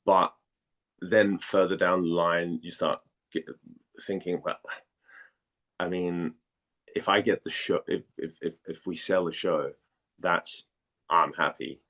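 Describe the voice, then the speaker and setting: A low-voiced man from Denmark chats as an interview guest. He talks casually and haltingly, doubling back on words while he works out what he means. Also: fairly even intonation, a bit bright. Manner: halting, repeats words as he thinks. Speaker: guest, actor and former model.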